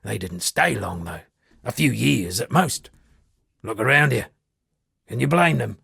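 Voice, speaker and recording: A shipwrecked sea captain for a fantasy game, a roguish would-be poet. The voice is heavily creaky and very raspy, swooping expressively through wry, theatrical storytelling. It is recorded close and dry. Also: male voice, mid-range voice, lively intonation, measured pace, pushed and bright, no room sound, full character voice. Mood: wry, musing. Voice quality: gravelly, husky. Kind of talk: video game character dialogue.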